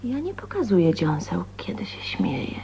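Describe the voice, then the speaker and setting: An actress playing a defiant, teasing young unmarried daughter, flippant and cool, in a radio drama. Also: female voice, mid-range voice, huge pitch swings, normal speed, creaky, mellow. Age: young adult. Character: defiant, teasing 29-year-old spinster daughter. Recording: dull old radio recording with hum.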